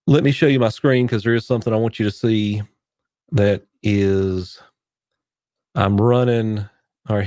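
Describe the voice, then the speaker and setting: A man hosting a casual live church-tech Q&A from his home studio, sick with a cough, rambling as he clicks through his gear on a boom-arm condenser mic. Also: a little mellow, no echo. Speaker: church tech livestream host. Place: home studio, heater running behind.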